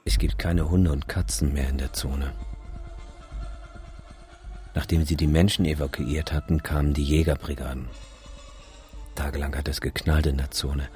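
An actor playing a sombre, sardonic photographer recalling a disaster in a radio drama monologue, wry and measured. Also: male voice, a bass voice, steady tone, a little mellow. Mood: grim, sardonic. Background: music.

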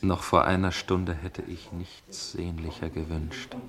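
A deep male voice carries a brooding young gentleman in a radio play. He speaks with formal, measured earnestness, tormented by love and suspicion. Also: flat delivery, crowd noise. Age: young adult. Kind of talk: German radio play acting, dialogue and narration.

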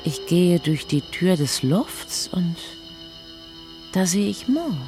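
An actress playing a matter-of-fact female schoolteacher in a radio play, with a creaky voice. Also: on the low side, lively intonation, slow pace, dark tone, faint music behind. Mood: urgent, absorbed. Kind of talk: radio play acting, narrated monologue and dialogue.

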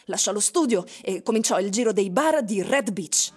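A woman narrator reading a literary story in a theatrical manner with deliberate phrasing, as in a radio drama. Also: medium pitch, expressive, a little mellow, breathy edge.

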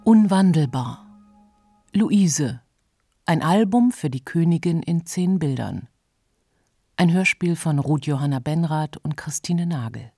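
Dark, husky, low female title announcer. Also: lively intonation, a little slow, dry recording, studio quality, soft background music. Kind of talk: scripted radio play title announcement.

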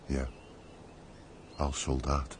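A man's voice: rumbling low, monotone, extremely slow, background noise, exaggerated voice. An actor playing a loyal, frank and compassionate male servant in a radio play. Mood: firm, pleading.

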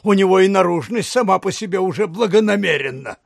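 An actor playing an earnest, well-meaning provincial official in an audio drama, reasoning in a creaky, husky voice. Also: male voice, high-pitched, animated, a little fast, dry recording, clean studio sound, heavily acted. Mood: earnest, defensive.

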